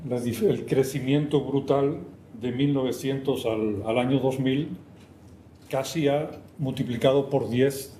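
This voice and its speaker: An older man from Catalonia with a Cuban-tinged Spanish accent gives a measured lecture, stopping often for an interpreter and clearing his throat. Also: medium pitch, warm tone. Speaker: guest speaker. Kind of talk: lecture presentation with slides. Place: university lecture hall. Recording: echoey lecture hall with faint noise.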